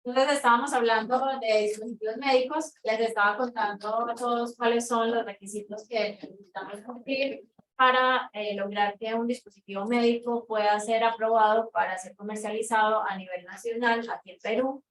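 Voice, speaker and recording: A woman's voice: a little high, fairly even intonation, moderate pace, fairly bright tone. A woman with a Colombian accent giving an explanatory conference lecture to an audience. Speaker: conference speaker, researcher. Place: lecture room. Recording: echoey room, distant mic, background noise.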